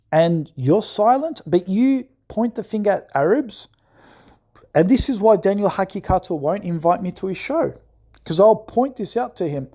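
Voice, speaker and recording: A man's voice: high-pitched, mellow. A man in an impassioned, scolding monologue who keeps asking "right?". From Australia. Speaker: commentator.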